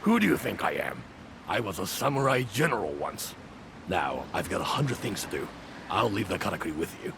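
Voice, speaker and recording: Ex samurai general in a game, gruff n decisive, very animated pitch, noisy background. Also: male voice, fairly quick, full character voice. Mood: proud, brisk. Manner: blunt, decisive military delivery. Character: gruff male former samurai general, commanding and urgent. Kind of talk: video game cutscene acting. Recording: clear noise and ambience behind.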